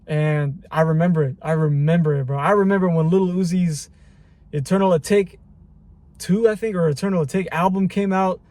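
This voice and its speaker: A man in his late twenties muses to camera in a loose, rambling vlog, circling back over his words and dropping casual slang. His voice sits a little high for a man and sounds close and dry, with no room echo. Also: moderate pace, a little mellow. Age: 20s. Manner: reflective, rambling, slangy with repeated phrases. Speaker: vlogger. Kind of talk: casual personal vlog monologue.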